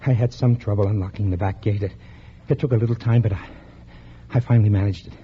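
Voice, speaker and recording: An actor playing a nervous, love-struck killer with a hesitant, stammering manner in a vintage radio drama. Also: male voice, fairly low pitch, fairly even intonation, fast talker, natural voice. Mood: uneasy, devoted. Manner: hesitant, stammering, repeating words. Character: besotted, nervous lover turned killer, betrayed by her. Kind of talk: 1940s-1950s American radio suspense acting. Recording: muffled, noisy old radio recording.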